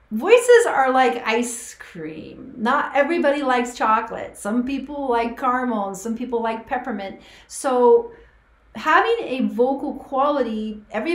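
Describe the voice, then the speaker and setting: A middle-aged woman, a voice teacher, reacting to an old clip in chatty commentary that restarts and corrects itself. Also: on the high side. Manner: chatty, self-correcting, emphatic. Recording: echoey room.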